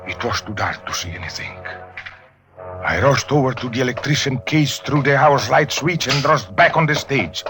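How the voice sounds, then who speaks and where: An actor playing a desperate, menacing opera singer turned murderer in a vintage radio thriller, with dramatic, deliberate, formal phrasing. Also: male voice, mid-range voice, expressive, close to an everyday voice. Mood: tense narration. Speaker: actor and first-person narrator. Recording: vintage radio recording with music.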